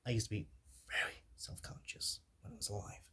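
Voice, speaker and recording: A man playing a shy, awkward, gentle zombie in an ASMR roleplay, speaking softly and hesitantly and drifting into whispers. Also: a little fast, close to an everyday voice. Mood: friendly, rambling. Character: shy, awkward, soft-hearted young male zombie.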